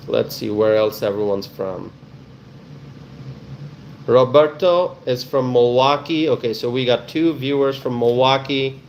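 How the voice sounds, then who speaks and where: A man's voice: medium pitch, creaky, a little mellow, a little hiss or hum, rough recording. A man with a Bangladeshi accent hosting a casual live stream, chatting with viewers in a friendly, welcoming way and often repeating himself.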